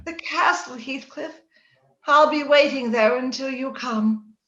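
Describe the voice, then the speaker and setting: A woman's voice: sits high, a little slow, a bit bright. A woman playing a dying, lovesick young woman in a wistful, theatrical old-time radio drama style. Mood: dying, tender. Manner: wistful, romantic, theatrical delivery. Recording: roomy, dull remote recording.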